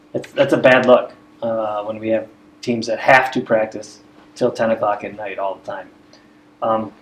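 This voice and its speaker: A man on a school's athletics staff speaking earnestly at a committee meeting without a microphone, often repeating words as he builds his points. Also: mid-range voice. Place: meeting room. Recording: roomy meeting room, faint background hum.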